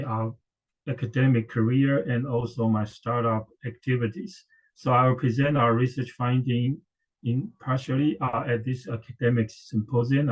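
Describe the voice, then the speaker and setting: Professor from Taiwan, middle-aged man, conference presenter; hesitant delivery, mid-pitched voice, muffled audio. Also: darkish tone. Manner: hesitant, frequent filler sounds and restarts. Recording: dull lo-fi audio.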